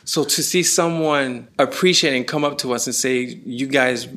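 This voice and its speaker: Animated Canadian musician telling stories. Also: male voice, mid-range voice, moderate pace. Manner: animated storyteller, voice rising when excited. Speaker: musician guest. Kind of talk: casual interview.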